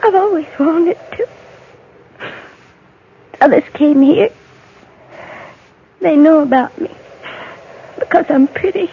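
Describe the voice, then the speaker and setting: A lonely, troubled young frontier girl, slightly high in pitch. She speaks in simple, childlike, pleading phrases, in 1950s radio drama style. The sound is thin and tinny like a telephone, with some old recording noise. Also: takes it slowly, vocal fry, long pauses, heavily acted. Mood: desperate confession. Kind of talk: 1950s radio western acting.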